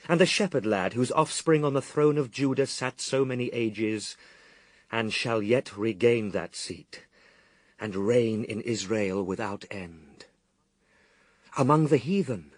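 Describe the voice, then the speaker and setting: A British actor playing a solemn, steadfast Son of God in a classical verse radio drama, speaking in measured, earnest declamation. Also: male voice, mid-range voice, no room sound. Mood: grave, reflective.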